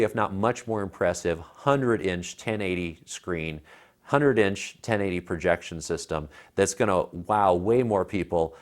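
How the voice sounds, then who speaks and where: A low-voiced American man in his thirties chats as a tech reviewer. He talks casually and colloquially, pressing his recommendations with emphasis. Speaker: tech reviewer, show co-host. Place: living room at home. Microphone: clip-on lapel mic.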